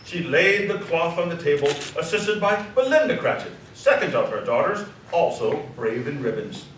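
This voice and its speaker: A man narrating a scripted story dramatically, savoring the descriptive words with expressive delivery. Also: fairly high pitch, normal speed. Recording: echoey hall, distant mic, faint noise.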